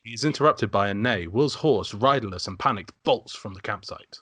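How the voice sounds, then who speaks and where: A man serves as narrator at an amateur table read, reading scripted stage directions. His voice sits fairly low and the sound is dry, with little room in it. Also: expressive, normal speed, a bit bright, husky, no room sound.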